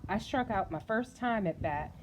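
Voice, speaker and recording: A woman's voice: medium pitch, lively intonation, normal speed, slight background noise, pitched above the performer's own voice, lightly acted. A woman voicing an eager, proud young girl who loves baseball, in a storybook reading.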